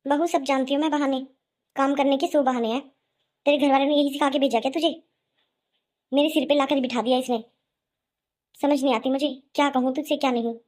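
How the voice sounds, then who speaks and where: Lady doing a naggy cartoon mother-in-law, high mellow voice, accusing and sarcastic. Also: steady tone, fairly quick, long pauses, close to an everyday voice. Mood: scolding, irritated. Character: scolding, nagging middle-aged mother-in-law. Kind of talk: melodramatic Hindi cartoon voice acting.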